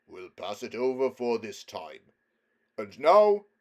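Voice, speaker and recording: A man voicing a bluff, hearty older king with a gruff, commanding way of speaking. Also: big pitch swings, slow, darkish tone. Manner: booming, commanding, old-fashioned formal speech.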